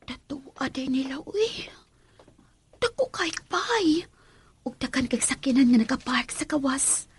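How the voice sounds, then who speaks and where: A woman's voice: sits high, animated, a little slow. An actress with a Cebuano Filipino accent playing a poor, self-pitying young mother in an emotional, pleading radio drama style. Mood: wistful, awed. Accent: Filipino, Cebuano-speaking. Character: poor self-pitying young mother leaving her children for maid work. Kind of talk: Philippine radio drama acting.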